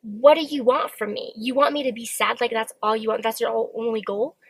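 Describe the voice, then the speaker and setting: Earnest woman with a slight stammer, gravelly. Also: fairly high pitch, fairly even intonation, a little fast, dry recording. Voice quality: occasional stammer. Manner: open, earnest, self-reflective. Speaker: vlogger. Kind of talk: candid solo talk to camera. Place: parked car.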